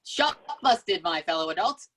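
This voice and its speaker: A playful, excitable young party host, read by a woman at an amateur table read. The pitch swings about in a very animated way. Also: a little slow. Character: playful, excitable young man hosting a housewarming.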